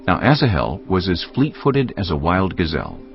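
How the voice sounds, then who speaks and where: A male narrator reads scripture in a very low, gravelly voice. His pitch moves expressively through the scripted storytelling. Faint music plays behind a slightly dull recording. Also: huge pitch swings, moderate pace. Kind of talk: scripted dramatized Bible narration.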